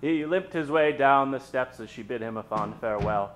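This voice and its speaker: A man's voice: mid-range voice, moderate pace, a bit bright. Man narrating radio comedy over music. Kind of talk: storytelling narration for old-time radio comedy.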